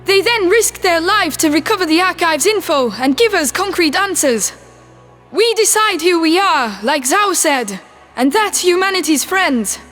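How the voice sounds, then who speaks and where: A man's voice: very high-pitched, animated, measured pace, pushed and bright, faint music behind, voice pushed up, heavily acted. A man voicing an earnest alien ambassador who speaks in formal, declarative oratory. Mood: earnest, resolute.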